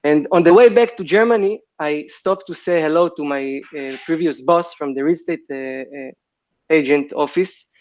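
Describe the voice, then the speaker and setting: A man's voice: a little high, normal speed, a little mellow, lo-fi sound. A man in his late twenties with an Israeli accent, telling his story as an interview guest, hesitant with many fillers and restarts. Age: 20s. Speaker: guest, software founder.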